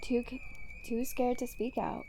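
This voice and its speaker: A woman's voice: fairly high pitch, steady tone, a little slow, gravelly, dark tone, a little hiss or hum. A woman playing a smug, sarcastic female supervillain in an ASMR roleplay, drawling and teasing as she taunts a hero. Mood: exasperated, bitter. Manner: teasing, drawling, sardonic, casually profane. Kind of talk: ASMR roleplay audio drama monologue.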